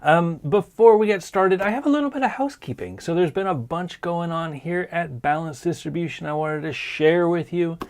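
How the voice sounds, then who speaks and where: Guy hosting his stream, kinda raspy from a sinus thing, gravelly, earnest and encouraging. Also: on the high side, normal speed, few pauses, darkish tone. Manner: earnest, encouraging, plain-spoken. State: hoarse from a sinus cold. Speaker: podcast host. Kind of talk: casual live-stream talk.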